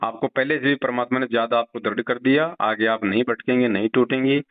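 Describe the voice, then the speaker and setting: A man's voice: medium pitch, steady tone, brisk. A middle-aged man, explaining things respectfully and reassuringly in conversation. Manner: respectful, repeatedly addresses the listener. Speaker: religious devotee, video preacher. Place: phone call. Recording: tinny, narrow phone line.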